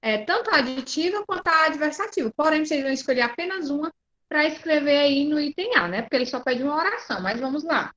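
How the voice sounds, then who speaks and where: Brazilian woman teacher on a video call, patient, fairly high voice, keeps checking understanding. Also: expressive, fast talker, a bit bright, muffled recording. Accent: Brazilian Portuguese. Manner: patient, checks in often with "né?" and "certo?". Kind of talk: online class.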